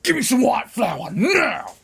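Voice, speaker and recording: A storyteller voicing a rude, greedy male wolf who puts on a sweet, high motherly voice to trick the kids. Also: very animated, unhurried, gravelly, fairly bright tone, slight background noise, full character voice. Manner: brash and rude, high fake sweetness when posing as mother.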